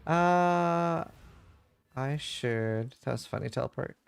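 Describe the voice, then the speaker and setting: A man talks loosely over his gameplay, answering chat and musing about his options. His voice sits a little high and has a gravelly edge. The sound is close and dry. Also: slow pace, no room sound. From Norway. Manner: relaxed, thinks aloud, answers chat questions. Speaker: game streamer. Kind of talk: casual livestream chat while playing.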